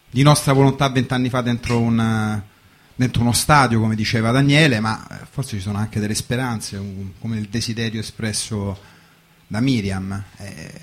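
A man speaking haltingly in an informal panel discussion, searching for his words. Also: medium pitch.

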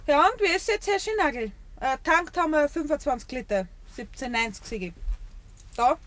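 A woman's voice: high-pitched, animated, moderate pace, a bit bright. An actress playing a shrewd, guarded young Bavarian woman in a dialect radio play, speaking pointedly with small hesitations.

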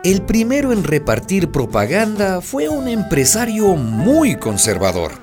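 A woman narrating a scripted radio drama in an expressive storytelling style. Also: medium pitch, a little slow, music in the background.

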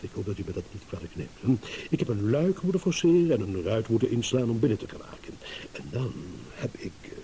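An actor playing a shrewd, self-satisfied motorist delivering a deliberate, ironic, accusing monologue in a radio play. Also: male voice, lowish voice, dark tone, lightly acted. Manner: theatrical, deliberate, ironic, with dramatic pauses. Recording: hissy old radio play recording.

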